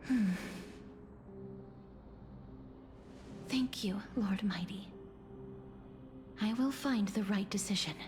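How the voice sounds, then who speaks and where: Slow, gentle young woman, acted by a woman, music behind. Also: medium pitch, lightly acted. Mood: quietly grateful. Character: gentle, solemn young woman bound to death. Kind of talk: dramatic video game voice acting.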